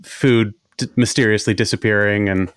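A man's voice: fairly low pitch, steady tone, moderate pace, clear, resonant tone, dry recording. A man hosting a podcast with a slight New England accent and a faint nasal whine, chatting casually and rambling with many restarts. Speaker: podcast host. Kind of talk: casual interview chat.

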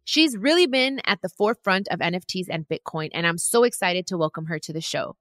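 A woman's voice: on the low side, expressive, a little fast, a bit bright, dry recording, clean studio sound. A woman hosting a podcast, chatting with a guest in a bubbly, enthusiastic way and gushing with praise. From Mexico. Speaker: podcast host. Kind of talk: casual interview chat.

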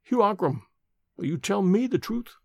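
A man voicing an ancient, sharp-tongued old nurse woman, speaking slowly in a high, quavering voice. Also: big pitch swings, vocal fry, no echo, exaggerated voice. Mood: solemn.

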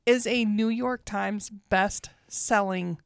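A woman with a smooth, clear voice hosts a podcast in a studio, enthusiastic and quick to laugh. The sound is dry and close, with little room echo. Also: mid-range voice, steady tone. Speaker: podcast host. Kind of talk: casual chat.

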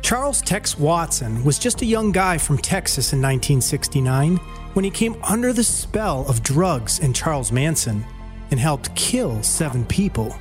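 A man's voice: on the high side, big pitch swings, moderate pace, faint music behind. A man with an American accent reading scripted documentary narration as a storyteller.

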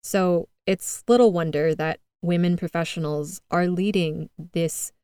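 A woman's voice: on the low side, fairly even intonation, a little slow, mellow, smooth, no echo. An American woman hosting a podcast, reading an earnest, upbeat scripted monologue with light laughs. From United States. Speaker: podcast host.

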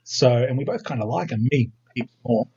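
A man's voice: medium pitch, moderate pace, darkish tone, husky, highs cut off. A man in his thirties with an Australian accent, chatting as a podcast guest with self-deprecating humour. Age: 30s. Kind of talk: casual chat.

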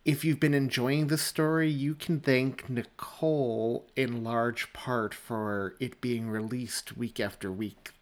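Guy hosting a podcast, casual and gushing, medium pitched voice. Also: steady tone, takes it slowly, few pauses. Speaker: podcast host. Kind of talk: casual, appreciative host talk.

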